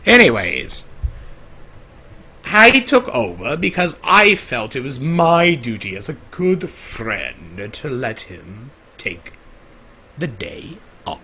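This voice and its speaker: Man doing a gravelly centuries-old trickster. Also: mid-range voice, big pitch swings, slow pace, sharp tone, slight background noise, exaggerated voice. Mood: theatrical. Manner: theatrical, flamboyant, rambling. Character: centuries-old male pony-world trickster, theatrical jester. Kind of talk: live roleplay monologue to an audience.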